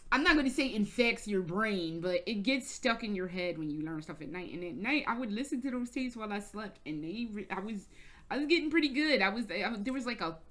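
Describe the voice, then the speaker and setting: A woman's voice: medium pitch, lively intonation, brisk, a bit bright. An American woman telling a rambling, casual story for her vlog, swearing freely and restarting her sentences often. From United States. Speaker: vlogger. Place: indoors, travelling in Germany.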